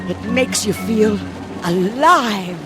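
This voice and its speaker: Sultry sinister dream woman, slow and coaxing, pitch swings a lot, radio drama. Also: expressive, loud music behind the voice. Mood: thrilled, menacing. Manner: breezy, coaxing, insistent. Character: seductive, sinister dream temptress, insistent and coaxing. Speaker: actress in audio drama. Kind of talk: dramatic audio drama acting.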